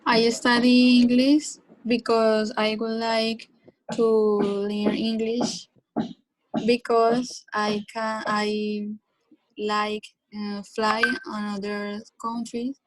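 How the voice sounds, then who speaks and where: Slow, hesitant teenage Colombian girl. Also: a little high, level tone, background noise. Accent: Colombian Spanish. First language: Spanish. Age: teens. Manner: hesitant, halting learner English with fillers. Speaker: exam candidate. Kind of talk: speaking exam answers.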